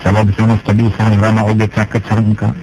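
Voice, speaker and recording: A male storyteller voicing a young husband who gently comforts his wife with soothing, earnest words. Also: on the low side, monotone, quick pace, natural voice, poor audio. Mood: gentle comforting. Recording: music playing behind.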